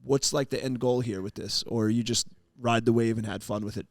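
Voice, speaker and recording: A man's voice: medium pitch, steady tone, a little fast, creaky, no echo. Man, late thirties, podcast host, easygoing, joking, dry close sound. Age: 30s. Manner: easygoing, joking, swears casually. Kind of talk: casual chat.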